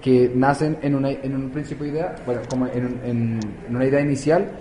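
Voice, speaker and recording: A man's voice: mid-range voice, fairly even intonation, brisk, mellow, slight background noise. A man from Colombia, answering interview questions as a youth activist, hesitant with many fillers and restarts.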